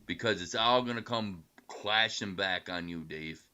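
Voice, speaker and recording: Low taunting man on dull lo-fi clip. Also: a little slow, pushed and bright, a little hiss or hum. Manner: taunting, aggressive, profane.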